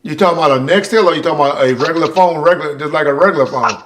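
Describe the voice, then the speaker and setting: An American man hosts a casual interview. His voice sits a little high, and he probes as he talks, setting up questions and pinning down details. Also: rapid-fire, a bit bright, a little hiss or hum. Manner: probing, clarifying. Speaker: podcast host.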